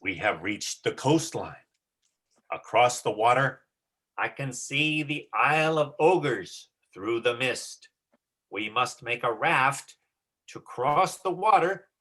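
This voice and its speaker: A man's voice: mid-range voice, expressive, slow, pushed and bright. A man playing a brave, kindly young hero with earnest, declamatory delivery in a folktale reading. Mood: resolute.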